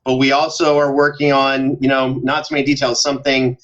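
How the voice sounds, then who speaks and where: A man chatting casually as a podcast guest, telling animated stories and acting out the exchanges he quotes. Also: medium pitch, a little fast, dull audio. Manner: banters, acts out quoted exchanges. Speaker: podcast guest, show co-host and audio drama producer.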